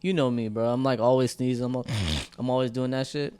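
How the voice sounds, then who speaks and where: A man's voice: medium pitch, steady tone, a little fast, dark tone, clean tone. A young man co-hosting a podcast, telling stories in loose, profane slang. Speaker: podcast co-host. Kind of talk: casual chat.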